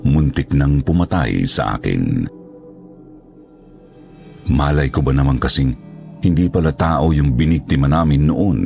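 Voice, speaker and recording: An aging former crook confessing in a horror story, read by a man. The voice is extremely deep and gravelly, earnest and regretful as he recounts his past. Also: warm tone, heavily acted. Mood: rueful, confessional. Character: regretful older male ex-criminal telling his past. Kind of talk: first-person scripted horror storytelling.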